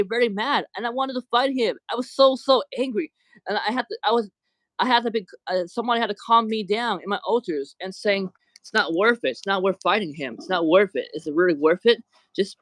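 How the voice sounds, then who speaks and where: A man's voice: sits high, no echo. Upset man ranting on a livestream. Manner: repeats words and restarts when upset. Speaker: livestream host. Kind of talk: angry livestream rant.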